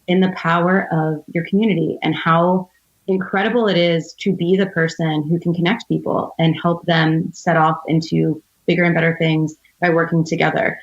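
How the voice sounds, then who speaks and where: Female entrepreneur guest, low-pitched, earnest and articulate, conversational advice, slightly lo-fi recording. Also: level tone, normal speed. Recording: dull, band-limited audio.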